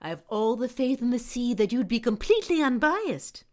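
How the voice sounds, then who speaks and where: A proud cartoon aunt fish, played by a woman, sweetly insistent and haughty. Her highish voice rises and falls dramatically as she presses her point. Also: sing-song, moderate pace, warm tone. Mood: pushy, sugary. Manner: sugary but insistent, haughty. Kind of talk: cartoon audio drama acting.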